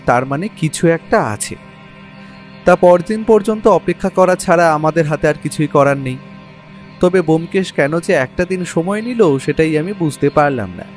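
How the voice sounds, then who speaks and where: An actor playing a detective's curious, watchful companion, narrating the case in the first person. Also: male voice, a little high, natural voice. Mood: reflective.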